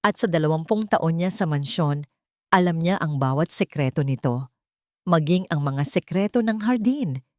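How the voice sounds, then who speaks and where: A woman narrates a dramatic audio story in a low-pitched voice. Her phrasing is deliberate and suspenseful, and the recording is close and dry. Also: lively intonation, no echo.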